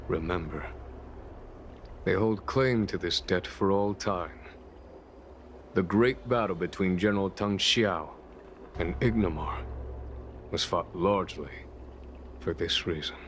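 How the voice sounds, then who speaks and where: An actor playing a solemn, courtly, world-weary man in a video game, speaking formally and deliberately. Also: sits low, a little hiss or hum. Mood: solemn, wistful. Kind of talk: video game voice acting, measured storytelling.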